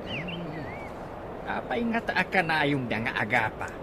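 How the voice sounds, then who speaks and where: A man's voice: a little high, very animated, measured pace. A man playing a gossipy, worried Ilocano neighbour in a radio comedy drama, given to exclaiming. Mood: concerned, gossipy. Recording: noisy radio drama with outdoor ambience.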